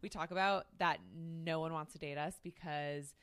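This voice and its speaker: A woman with a slightly low voice co-hosts a casual podcast. She chats informally and tells stories with a giggly, loose delivery full of filler words. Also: steady tone, moderate pace, fairly bright tone, clear, resonant tone. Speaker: podcast co-host. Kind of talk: casual chatty banter.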